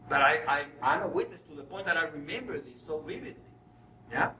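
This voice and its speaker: A man from Venezuela speaks in a high, bright voice, giving a spiritual lecture built on personal stories. He is animated, slipping into dialogue as he goes. The sound is roomy, picked up from a distance. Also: fast. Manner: animated storyteller acting out dialogue. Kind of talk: spiritual talk to an audience, personal storytelling. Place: room with an audience. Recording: roomy, distant mic, faint noise.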